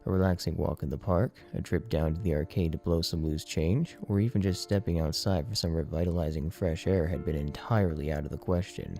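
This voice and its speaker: A man narrates a story in a very low, creaky voice with a dark, mellow tone. It is scripted audiobook reading, with faint music behind him. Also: brisk, few pauses.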